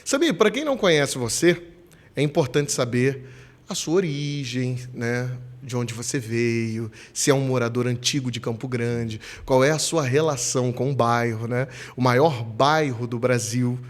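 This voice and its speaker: Lively Rio host, emphatic delivery. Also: male voice, mid-range voice. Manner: lively, emphatic presenter delivery with drawn-out openings. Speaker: podcast host. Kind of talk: school podcast interview.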